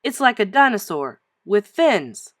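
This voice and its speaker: Woman voicing an emphatic, enthusiastic rich gentleman. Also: mid-range voice, big pitch swings, a little slow, no room sound, clean studio sound. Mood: explaining.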